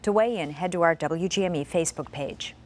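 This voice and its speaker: Lower-pitched American female news anchor. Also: brisk. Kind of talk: scripted news reading.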